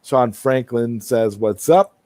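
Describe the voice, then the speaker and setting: A man's voice: medium pitch, slow pace, darkish tone, dry recording, clean studio sound. A man hosting a livestream, chatting casually and answering viewer comments, often repeating and rephrasing himself as he thinks aloud. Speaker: livestream host.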